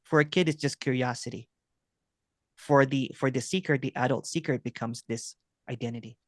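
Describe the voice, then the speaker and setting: A man speaking as a spiritual teacher in a relaxed conversation, often asking "right?" and "you know what I'm saying?". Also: medium pitch, a little fast, no echo, studio quality. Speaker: non-duality teacher.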